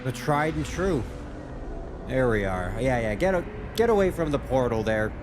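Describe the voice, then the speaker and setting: A man's voice: medium pitch, sing-song, moderate pace. A man giving casual, off-the-cuff commentary as a reaction video host, rambling and thinking aloud. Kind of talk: casual off-the-cuff commentary while watching game cutscenes. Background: game cutscene audio and music.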